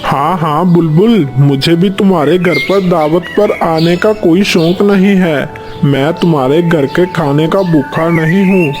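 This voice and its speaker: Guy doing a snippy parrot in a kids cartoon, high voice, full of himself, music going behind. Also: a little slow, natural voice. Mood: scornful. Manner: boastful, scornful, simple phrasing. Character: conceited, quarrelsome male parrot. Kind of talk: Hindi children's cartoon story acting.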